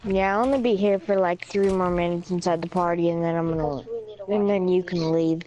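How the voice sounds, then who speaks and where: A woman's voice: lowish voice, fairly even intonation, fairly quick, mellow. A woman chatting casually over an online voice chat party, rambling with lots of "like" and "yeah". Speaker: friend in voice chat. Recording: dull lo-fi voice chat audio.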